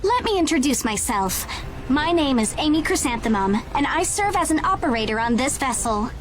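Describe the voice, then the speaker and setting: High-voiced, polite young woman operator. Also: very animated, normal speed. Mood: welcoming. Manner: neat, courteous, briefing-like. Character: polite, earnest young female ship operator. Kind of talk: video game cutscene acting. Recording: prominent game music behind the voice.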